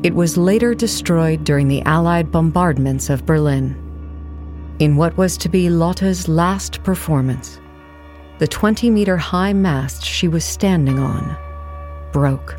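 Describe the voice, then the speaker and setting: A narrator reading scripted historical material for an audio play in a measured, matter-of-fact way, with music underneath. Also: mid-range voice, a little mellow, lightly acted. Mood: measured, factual.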